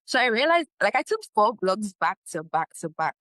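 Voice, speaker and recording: A woman from Ghana, an animated, chatty webinar panelist full of fillers. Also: mid-range voice, normal speed, no room sound. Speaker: webinar panelist, exam taker. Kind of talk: casual panel discussion.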